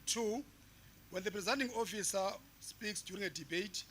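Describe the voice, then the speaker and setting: A man's voice: high voice, moderate pace, fairly bright tone, a little hiss or hum. A man formally reading out procedural rules in a legislature chamber. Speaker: member of a legislature.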